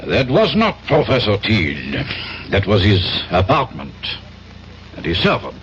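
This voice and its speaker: Deep-voiced stern French police inspector. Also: male voice, huge pitch swings, a bit bright, full character voice. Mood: coolly sceptical. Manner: measured, formal, sceptical. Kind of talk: 1970s radio drama acting. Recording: dull noisy vintage radio broadcast.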